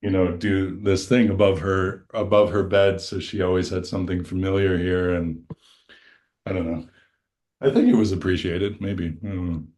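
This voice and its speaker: A middle-aged man from western New York, a musician guest, talking casually and haltingly with many restarts. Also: steady tone, darkish tone. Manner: deep-voiced, halting, restarts often. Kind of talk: casual chat.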